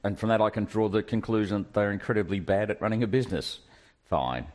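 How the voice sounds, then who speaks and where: A deep-voiced man in a relaxed chat among gamers. He argues his point carefully and stumbles through restarts before getting it out. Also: fast. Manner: reasoned, stammering restarts. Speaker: player. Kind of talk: casual chat.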